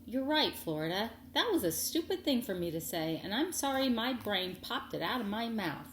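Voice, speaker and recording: A woman's voice: mid-range voice, big pitch swings, moderate pace, reverberant, a little hiss or hum, voice pushed up, natural voice. A woman voicing a kindly, chatty older country woman, folksy and soothing, in a storybook reading. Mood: apologetic.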